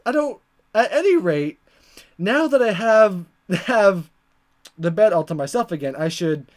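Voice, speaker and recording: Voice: medium pitch, sing-song, a little slow. Flustered stammering teenage boy, read by a gamer. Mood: puzzled, flustered. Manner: stammering, exasperated inner monologue. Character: flustered, awkward high school boy, visual novel hero. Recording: music under the voice.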